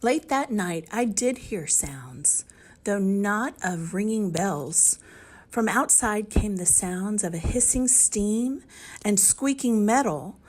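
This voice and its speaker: A woman's voice: medium pitch, animated, takes it slowly, darkish tone. A woman reading a children's storybook aloud as narrator. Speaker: storybook reader.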